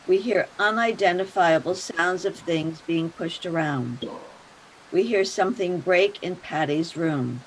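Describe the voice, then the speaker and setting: A woman's voice: on the low side, a little slow, noisy background. A woman narrator reading stage directions for a play in scripted narration.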